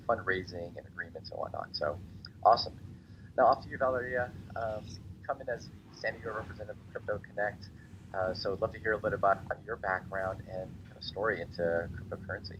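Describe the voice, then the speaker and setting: A man with a very deep voice hosts a panel and keeps things loose and upbeat, tossing in fillers as he introduces guests. His sound is dull and muffled, with steady hiss in the background. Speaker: host, panel moderator. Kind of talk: casual hosting. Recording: muffled, noisy recording.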